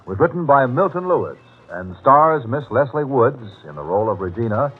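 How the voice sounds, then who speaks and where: A man's voice: mid-range voice, sing-song, a little fast, breathy edge, soft background music. A man announcing a drama programme in a formal 1940s radio style. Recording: tinny old-time radio recording.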